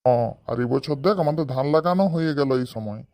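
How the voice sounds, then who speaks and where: A hard-working, homely village father in a comedy cartoon, acted out by a performer. He talks quickly at a middling pitch. His manner is fond and comic. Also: lively intonation, a little mellow, close to an everyday voice.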